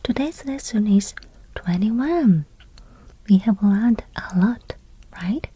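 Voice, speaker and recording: A woman with a Japanese accent giving a soft-spoken language lesson in a breathy whisper, in short, simple, gentle phrases. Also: mid-range voice, expressive, takes it slowly.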